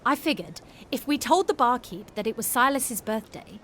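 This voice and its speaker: Bold, high-voiced seafaring woman. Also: animated, normal speed, a bit bright, slight background noise. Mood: storytelling, merry. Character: bold, playful, flirtatious seafaring woman, a storyteller. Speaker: actor playing a seafaring woman. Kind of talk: period audio drama acting.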